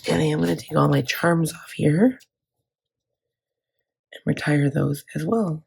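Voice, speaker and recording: Woman, scratchy voice, gravelly, chatty with fillers and restarts. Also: low-pitched, measured pace, darkish tone. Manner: chatty, filler-heavy, self-correcting. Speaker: planner vlogger. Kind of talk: casual chat.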